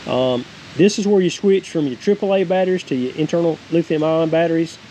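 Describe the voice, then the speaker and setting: A man's voice: on the high side, fairly quick, warm tone, poor audio. A middle-aged man with a Southern American accent giving a casual, folksy, plain-spoken product review. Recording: faint hiss and outdoor noise.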